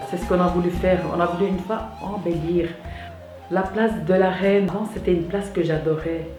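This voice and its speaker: A woman's voice: fairly low pitch, steady tone, normal speed. A woman, speaking in a documentary interview. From Belgium. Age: 50s. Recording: echoey room, clear background music.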